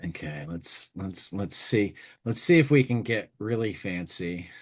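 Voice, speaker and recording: A man's voice: low-pitched, expressive, a little slow. A man hosting a casual talk show, thinking aloud as he chats and reads bits from a magazine. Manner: thinking aloud while working. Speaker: podcast host.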